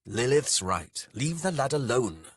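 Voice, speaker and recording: A man's voice: on the low side, moderate pace, no echo, studio quality, lightly acted. A man voicing a sardonic, sweetly mocking male swordsman in a fantasy audiobook, snappy and sarcastic. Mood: snapping.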